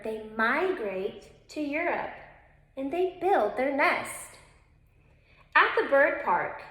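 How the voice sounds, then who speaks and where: Woman, elementary teacher, for a children's read-aloud; unhurried, instructive delivery speaking straight to kids, in an echoey room. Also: high voice, a bit bright. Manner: instructive, addresses young listeners directly. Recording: roomy, echoing indoor sound.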